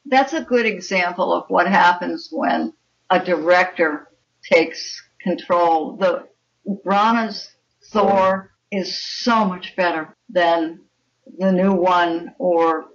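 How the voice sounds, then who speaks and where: Older woman, opinionated author in interview, gravelly fry, muffled and off-mic. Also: fairly low pitch, takes it slowly. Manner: opinionated, emphatic. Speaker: author guest. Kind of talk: conversational interview. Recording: muffled, distant microphone.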